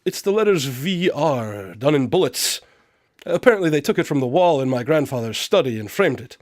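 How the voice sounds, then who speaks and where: A man's voice: medium pitch, animated, normal speed, no room sound, studio quality, natural voice. A man playing a fussy, smug, clever detective in a comic radio drama, speaking in a pompous, precise manner.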